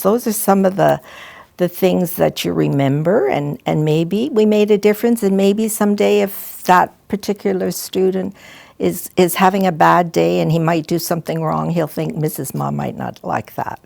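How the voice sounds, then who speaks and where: An older woman, a retired school principal, telling gentle, halting stories and advice to students. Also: on the low side, gravelly, no echo. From Canada. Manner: gentle, halting, with frequent restarts and soft asides. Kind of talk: reflective storytelling to students.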